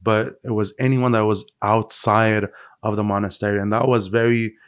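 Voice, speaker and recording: A man's voice: on the low side, flat delivery, normal speed, clear, resonant tone, no room sound, studio quality. A man hosting a podcast in a conversational style, feeling his way through explanations with restarted phrases. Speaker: podcast host.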